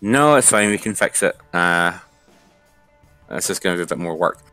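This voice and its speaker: A man's voice: low voice, lively intonation, moderate pace, sharp tone, dry recording, soft background music. A man giving an informal, step-by-step screen-share tutorial as an instructor.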